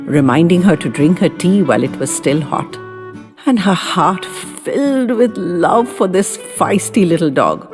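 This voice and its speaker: A woman's voice: lowish voice, expressive, unhurried, a little mellow. A woman reading a story as scripted audiobook narration, in a tender, dramatic storytelling delivery. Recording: long reverb with background music. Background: music.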